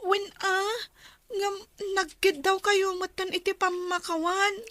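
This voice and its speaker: Young student girl, super high bright voice, pleading and earnest, radio drama w music. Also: flat delivery, takes it slowly, dry recording, exaggerated voice. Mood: troubled.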